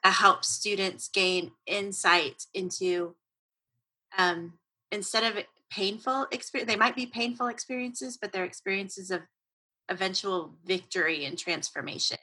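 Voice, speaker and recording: A woman's voice: medium pitch, fairly even intonation, measured pace, pushed and bright, breathy edge, poor audio. A woman hosting an interview, engaged and thoughtful, summing up her guest's points. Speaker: podcast host and interviewer. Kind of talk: conversational interview.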